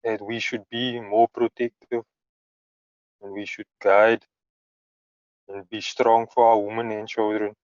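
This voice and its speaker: A man's voice: fairly low pitch, flat delivery, unhurried, pauses often, no echo, lo-fi sound. Earnest man on a call. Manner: earnest, pleading, stresses his words. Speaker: grieving father. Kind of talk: call conversation.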